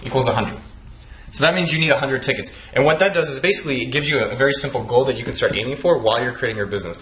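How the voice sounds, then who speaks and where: A man's voice: mid-range voice, fairly bright tone. A man hosting a casual chat and giving startup advice, loose and swearing freely. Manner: fast, loose, swears freely, laughs often. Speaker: host. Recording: echoey room with faint noise.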